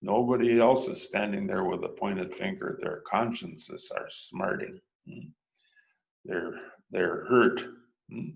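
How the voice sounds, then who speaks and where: A man's voice: lowish voice, takes it slowly, creaky, rough recording. A man giving a reflective sermon, often prompting listeners with a questioning "hmm?".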